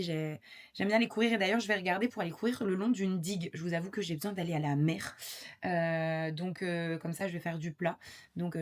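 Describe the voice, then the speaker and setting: A young adult woman chatting casually to camera in a vlog, rambling with lots of fillers. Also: on the low side. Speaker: vlogger.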